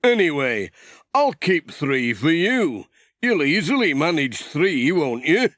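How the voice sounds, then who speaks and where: A cheerful village handyman from a children's storybook, acted by a male reader. He is eager and generous, pressing his point in a lively, swooping and unhurried voice. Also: on the high side, no room sound, studio quality, voice pushed up, full character voice.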